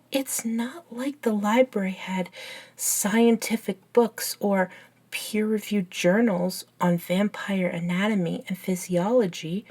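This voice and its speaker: A woman voicing an eager, curious, nerdy young best friend in a scripted ASMR roleplay, chatting and explaining in an unhurried, softly enthusiastic way. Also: medium pitch, slightly rough. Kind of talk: ASMR roleplay, scripted one-sided conversation.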